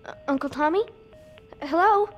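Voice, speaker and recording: A woman's voice: high-pitched, moderate pace, muffled recording, soft background music, natural voice. A woman playing a sassy, anxious young teenage American girl in a naturalistic video game performance. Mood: confused, uneasy.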